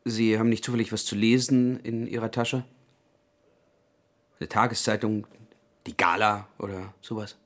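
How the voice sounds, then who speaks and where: A man's voice: on the low side, fairly even intonation, moderate pace, pauses often, a bit bright, close to an everyday voice. An actor playing a restless, bored man in his forties, hesitant but insistent, in a radio play. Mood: politely restless. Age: 40s. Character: restless, bored 40-year-old man, politely pestering strangers. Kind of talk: German radio play acting.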